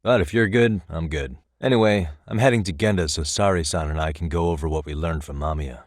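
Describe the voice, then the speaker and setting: An American man voicing a level-headed, thoughtful detective in a video game, with measured, articulate delivery as he reasons aloud. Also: sits low, dry recording, clean studio sound. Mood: casual. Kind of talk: scripted game dialogue.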